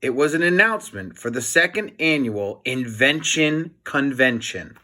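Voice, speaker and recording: Animated, deliberate man reading a storybook. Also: medium pitch. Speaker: teacher reading a children's book aloud. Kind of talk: expressive children's storybook narration.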